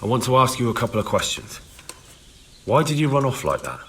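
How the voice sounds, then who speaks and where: A man's voice: mid-range voice, big pitch swings, brisk, fairly bright tone, breathy edge. An actor playing a determined young man in a medieval video game, questioning people in an insistent, direct way. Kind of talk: video game cutscene dialogue.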